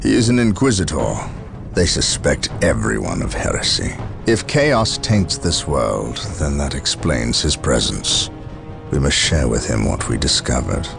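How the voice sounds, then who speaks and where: A stern space marine captain with a very low, gravelly voice. He is formal and commanding, with dramatic swings of emphasis, as in a video game cutscene. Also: sing-song, measured pace, music in the background, heavily acted. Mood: firm, stern. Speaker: military captain.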